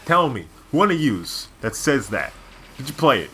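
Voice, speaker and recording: A man's voice: mid-range voice, very animated, moderate pace, bright, forceful tone, a little hiss or hum. A man doing casual gameplay commentary as a co-host, rambling and opinionated like a devoted fan. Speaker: gaming video co-host.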